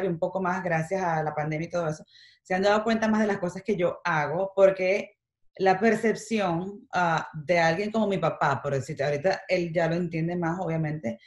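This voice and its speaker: A middle-aged Venezuelan woman talks in a fairly low voice with a Venezuelan Spanish accent. She chats with animated energy and slips English words into her speech. The room around her rings with echo. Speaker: guest, makeup artist. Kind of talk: casual interview chat.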